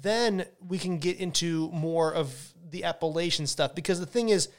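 A man's voice: fairly high pitch, normal speed. A man hosting a podcast, talking casually and enthusiastically about wine, often restarting his sentences. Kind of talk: casual educational monologue.

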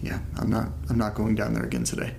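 A man's voice: fairly low pitch, big pitch swings, gravelly, whispered. A man playing an uneasy homeowner in a found-footage horror audio drama, talking casually and hesitantly as if keeping a spoken diary. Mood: unnerved, weary. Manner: hesitant, halting, casual muttering to listeners. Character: uneasy man documenting his new house, casual, nervous.

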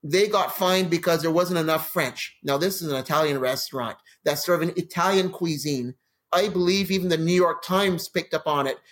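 Canadian guy in his 40s or so, guest on a podcast, telling funny travel stories, kinda high voice, acts stuff out. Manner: animated anecdotes with laughs and acted-out quotes. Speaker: guest, crime novelist. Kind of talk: casual interview storytelling.

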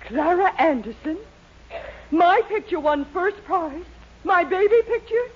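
A woman's voice: very high voice, animated, a little slow. An actress playing a stern, vain middle-aged mother, indignant and bossy, in a 1940s radio sitcom. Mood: delighted, greedy. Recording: muffled old radio, hiss, studio audience.

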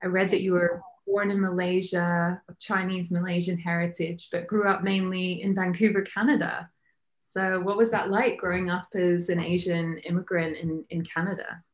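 A woman hosting a podcast and interviewing her guest in a friendly, conversational way. Her voice sits slightly low, and she often leans on "you know" while framing her questions. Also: flat delivery, moderate pace. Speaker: podcast host.

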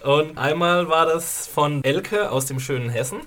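A man's voice: a little high, measured pace, fairly bright tone. A man with a light Palatinate German accent, co-hosting a podcast and cheerfully reading out listener mail with a self-mocking laugh. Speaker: podcast co-host.

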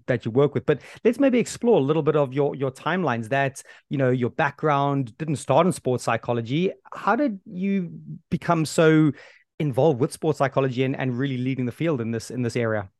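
A man's voice: mid-range voice, darkish tone, no echo. Male podcast host, friendly, encouraging, dry close sound. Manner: friendly and encouraging, frequent backchannels. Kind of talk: podcast interview.

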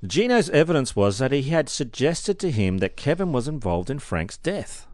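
A man's voice: medium pitch, very animated, moderate pace, no room sound. A man co-hosting a casual true-crime podcast, reading out case details and joking in between.

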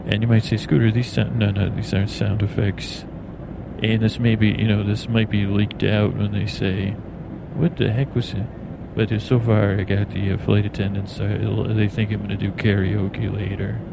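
A man hosting a bedtime podcast in a meandering, halting ramble, stammering and repeating words. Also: on the low side, vocal fry. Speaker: podcast host. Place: on an airplane in flight. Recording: steady airplane cabin noise behind voice. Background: airplane cabin drone.

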